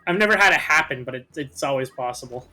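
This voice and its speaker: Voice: medium pitch, expressive, fast talker, bright, forceful tone, poor audio. A player chatting casually with friends during a game, explaining things in a matter-of-fact way. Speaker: gaming commentator and player. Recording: game music under the voice. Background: video game music.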